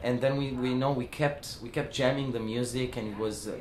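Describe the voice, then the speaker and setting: A man's voice: mid-range voice, fairly even intonation, quick pace, echoey room, noisy background. A man with an Israeli accent, a singer talking in a casual interview, hesitant with many fillers and restarts. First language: Hebrew. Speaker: singer and band founder. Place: music club after a show.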